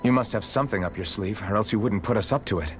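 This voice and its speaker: A lowish voice carries this earnest young fantasy hero, acted by a man. He is serious, plain-spoken and resolute, as in a video game cutscene, with soft music underneath. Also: fast talker, close to an everyday voice. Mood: challenging.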